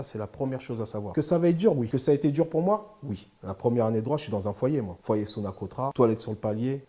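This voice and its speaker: A middle-aged man answering interview questions, steady and emphatic, stacking up his points in short repeated phrases. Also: medium pitch. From France. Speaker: interview guest, lawyer and sports agent. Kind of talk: candid interview talk.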